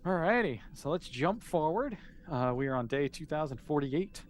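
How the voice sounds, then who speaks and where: A man's voice: fairly high pitch, expressive, moderate pace. A man, improvising casually and encouragingly as game master of a tabletop role-playing game.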